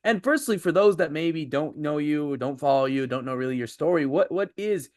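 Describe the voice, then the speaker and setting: Male podcast host, upbeat, mid-pitched, dry close recording. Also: normal speed, no room sound. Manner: upbeat, energetic introductions. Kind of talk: podcast interview hosting.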